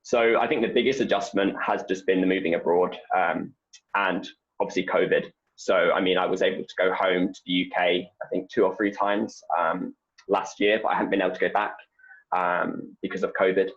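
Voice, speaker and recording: A young man from England with an English accent, answering interview questions in a relaxed, rambling way with lots of fillers. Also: low-pitched, a little fast, lo-fi sound. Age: young adult. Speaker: postdoc researcher guest.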